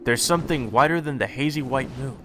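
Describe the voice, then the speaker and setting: A man reading visual novel narration aloud for a let's play, in a deliberate, dramatic manner. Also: mid-range voice, background noise.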